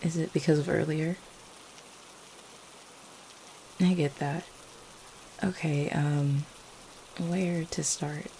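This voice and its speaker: A woman playing a gentle, devoted vampire girlfriend in an ASMR roleplay, speaking in a tender, hesitant, affectionate manner. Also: sits low, takes it slowly, rough recording. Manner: soft-hearted, hesitant, tender. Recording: faint steady rain-like background noise. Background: rain falling.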